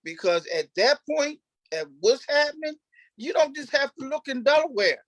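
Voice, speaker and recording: High-voiced man, emphatic and repetitive. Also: expressive, fairly bright tone, no echo. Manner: emphatic, repetitive, halting restarts. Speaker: stage play cast member. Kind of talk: unscripted panel discussion.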